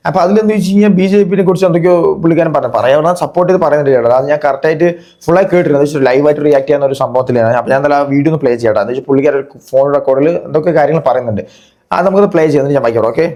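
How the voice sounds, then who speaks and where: A man's voice: a little high, rapid-fire, dark tone. A man giving chatty, casual reaction commentary as a video host, often checking that the listener follows.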